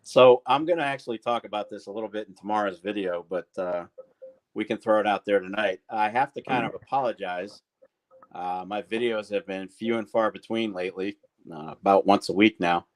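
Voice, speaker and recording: A man's voice: fairly low pitch, fairly quick. Candid man chatting on a livestream. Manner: candid, rambling, greets viewers by name. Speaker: livestream co-host. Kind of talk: casual livestream chat.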